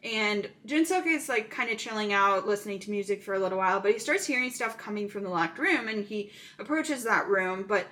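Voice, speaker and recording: A woman's voice: mid-range voice, brisk, hardly any pauses, a bit bright. A woman casually recapping a thriller movie plot, chatty and full of "um" fillers.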